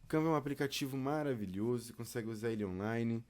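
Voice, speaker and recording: A man's voice: mid-range voice, fast. A man with a Brazilian accent giving an informal step-by-step video lesson in a chatty, encouraging way, addressing learners directly. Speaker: video lesson instructor on podcasting.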